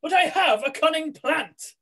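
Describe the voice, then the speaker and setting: Woman playing a high-voiced, gloating pantomime villain. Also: expressive, a bit bright. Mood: scheming. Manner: hammy, gloating, drawn-out street cries. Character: scheming male pantomime villain posing as street lamp seller. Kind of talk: rough pantomime read-through. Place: small room at home, video call.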